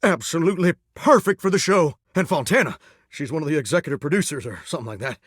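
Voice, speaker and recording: Living shower with country-singer dreams, American Southern drawl, high swooping delivery, folksy, earnest, flirty, video game character, dry studio sound. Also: male voice, animated, fast talker, fairly bright tone, slightly rough, dry recording. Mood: excited, sincere.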